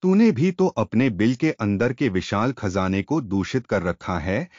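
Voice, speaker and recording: A man plays a menacing serpent in a storybook tale, quarrelsome and accusing. The delivery is highly animated and scornful. The sound is dry and a touch lo-fi. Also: measured pace, no room sound. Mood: angry, scornful.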